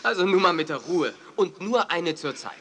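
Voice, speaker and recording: A man playing a calm, fatherly police officer in a children's radio play, jovial and patronizing. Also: high-pitched, moderate pace. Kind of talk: 1980s German children's radio play acting. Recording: noisy old cassette radio play.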